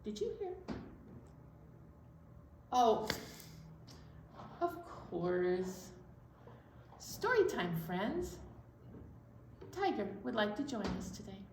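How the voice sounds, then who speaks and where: A woman leading a children's storytime as a librarian, speaking in a gentle, slow, encouraging way to young children. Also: medium pitch, very animated, echoey room, distant mic, slight background noise. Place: library room.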